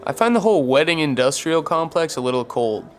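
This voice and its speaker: A man's voice: on the high side, lively intonation, brisk, close to an everyday voice. A man acting an insufferable, smug twenty-three-year-old in a podcast sitcom. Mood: smug, dismissive. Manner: pretentious.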